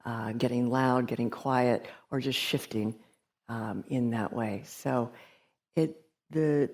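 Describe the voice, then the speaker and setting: Soft-spoken woman teaching meditation. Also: very deep voice. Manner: soft, hesitant, many fillers and pauses. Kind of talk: gentle retreat teaching talk. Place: meditation hall.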